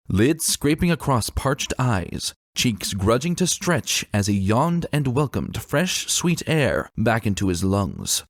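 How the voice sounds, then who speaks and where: A man with a fairly low voice reads a story as a dramatic narrator. His pitch moves in a very lively way and his phrasing is deliberate and expressive. Music plays behind him. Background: background music.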